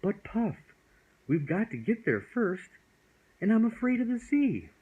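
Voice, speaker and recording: Man doing an excitable, high-pitched little boy. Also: unhurried, phone-quality sound. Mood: nervous, worried. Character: timid, excitable little boy, eager and impulsive. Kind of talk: bedtime storybook character voice.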